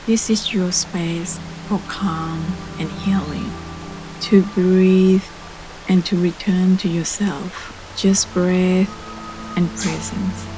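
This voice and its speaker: A woman gently guiding a meditation in a soft voice that turns breathy at phrase ends, soothing and unhurried, with long pauses. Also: on the low side, over music, poor audio. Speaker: meditation guide. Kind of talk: guided meditation narration. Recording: clearly audible steady background ambience noise.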